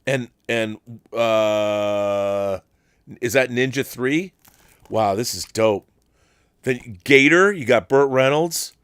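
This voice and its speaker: A man's voice: mid-range voice, slow, a bit bright, smooth, no echo, studio quality. Effusive man hosting a casual stream. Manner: effusive, exclamatory, enthusiastic. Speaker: host of a live stream show. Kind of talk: casual unboxing chat and letter reading.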